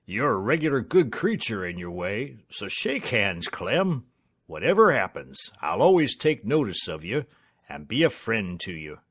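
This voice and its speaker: A man's voice: on the low side, big pitch swings, unhurried, a bit bright, slightly rough, exaggerated voice. A man voicing a hearty, good-natured but self-important manservant in an audiobook, bluff and patronising. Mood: amused, patronising.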